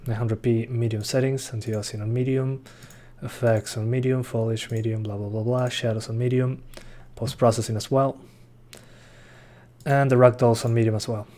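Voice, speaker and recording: A man's voice: medium pitch, little pitch movement, normal speed, mellow. A young man from Uruguay, chatting casually while streaming a game and reading chat aloud. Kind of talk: live gameplay commentary. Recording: faint gunfire behind voice.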